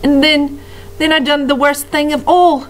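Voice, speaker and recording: A woman's voice: level tone, moderate pace, natural voice. A woman voicing a wretched male prisoner who pleads in a strained, raised voice. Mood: remorseful. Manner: high, pleading and anguished. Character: wretched remorseful male prisoner, cow thief.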